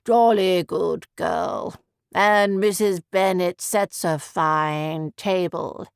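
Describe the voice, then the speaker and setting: Woman doing a gruff, slow older gent, low and complacent. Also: fairly even intonation, no echo, studio quality, heavily acted.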